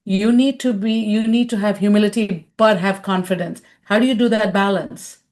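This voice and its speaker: A woman's voice: medium pitch, steady tone, normal speed, darkish tone. A middle-aged woman from India answering a question in a webinar, talking conversationally and acting out quoted voices over a video call. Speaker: webinar speaker and consultant.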